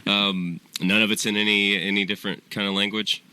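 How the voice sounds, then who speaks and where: A male radio host interviewing a guest in the studio, talking in a halting way with many "uh"s and repeated words. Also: fairly low pitch, little pitch movement, bright, forceful tone, smooth, rough recording.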